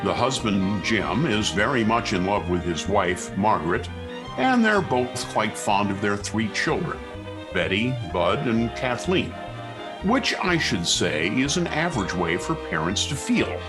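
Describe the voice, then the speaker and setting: A man's voice: lowish voice, big pitch swings, measured pace. A man announcing and narrating a 1940s radio show in a formal, theatrical way. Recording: 1940s radio recording with music.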